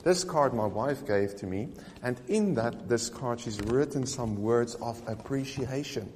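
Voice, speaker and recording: A man's voice: mid-range voice, a little slow, a little mellow, big-room sound, slight background noise. Eager man. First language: Afrikaans. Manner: eager, chatty, with small restarts. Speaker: vlogger. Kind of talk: casual chat showing gifts.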